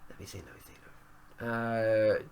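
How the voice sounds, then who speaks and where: A man with a hoarse voice from a cold, giving an enthusiastic, opinionated and rambling spoken review of a wrestling show. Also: fairly low pitch, big pitch swings, takes it slowly, darkish tone. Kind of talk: casual spoken review vlog.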